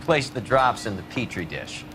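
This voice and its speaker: A man's voice: lowish voice, big pitch swings, normal speed, background noise. A man with an American accent playing an earnest, wry police forensics expert in a video game, explaining things eagerly. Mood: upbeat, playful. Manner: explanatory, technical.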